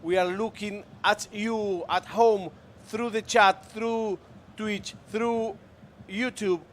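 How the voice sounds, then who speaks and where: A native Spanish-speaking man co-commentates an esports football match with a high, bright-pitched voice. He stays upbeat and keeps turning to the viewers at home. Faint traffic noise sits behind him. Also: fairly even intonation, slow. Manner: upbeat, addresses the chat directly. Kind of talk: bilingual color commentary.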